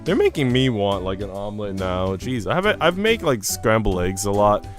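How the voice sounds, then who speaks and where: A man's voice: on the low side, big pitch swings, moderate pace, music in the background. A young man, rambling informally as he livestreams a visual novel, switching between slangy chat and reading aloud.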